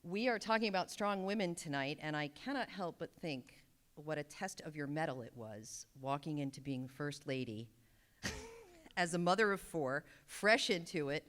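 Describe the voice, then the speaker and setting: A woman hosting a live interview, upbeat and quick to laugh. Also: sits low, very animated, a bit bright.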